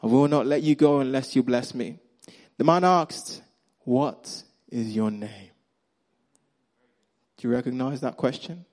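A man with a Nigerian accent preaching a sermon, acting out the characters' lines and swinging between loud emphasis and quiet, hushed moments. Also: medium pitch, a little slow, pauses often. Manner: animated storyteller, acts out Bible characters' lines.

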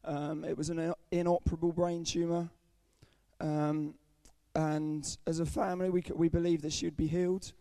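A young man with a croaky, under-the-weather voice giving an earnest personal testimony at a church service, with frequent ums. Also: fairly high pitch, little pitch movement, normal speed, dark tone, dry recording. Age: young adult. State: hoarse, croaky voice from illness. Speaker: student speaker at a church service.

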